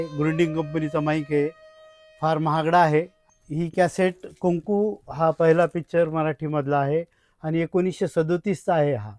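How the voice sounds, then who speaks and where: A man's voice: fairly high pitch, darkish tone, dry recording. A man talks informally as a collector, explaining his items in short phrases. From India. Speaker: radio collector being interviewed.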